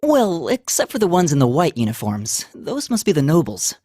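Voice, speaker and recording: A woman voicing a friendly, slightly timid teenage schoolboy with a soft husky voice, chatting in a video game. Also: low voice, huge pitch swings, normal speed, no echo, clean studio sound, full character voice. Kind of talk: game voice acting, casual dialogue.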